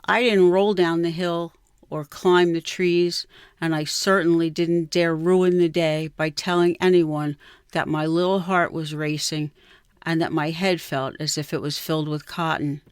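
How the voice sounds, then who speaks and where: A woman narrates a literary memoir with a measured, reflective delivery. She speaks very slowly in a smooth, clear voice. The sound is dry and close. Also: low-pitched, fairly even intonation, no echo. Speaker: writer reading own piece.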